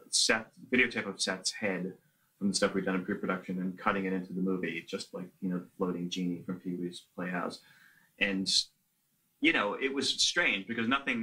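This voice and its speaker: Deep, bright-voiced man in an interview. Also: poor audio. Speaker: filmmaker interviewee. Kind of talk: behind-the-scenes interview.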